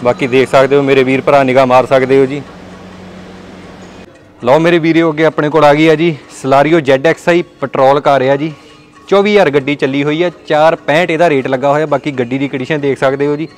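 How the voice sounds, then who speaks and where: Young Punjabi car seller, fairly high voice, repetitive showroom walkaround patter. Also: male voice. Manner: repetitive friendly pitch, addresses viewers as brothers. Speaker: car dealer showing stock. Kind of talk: walkaround sales tour. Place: large indoor car showroom.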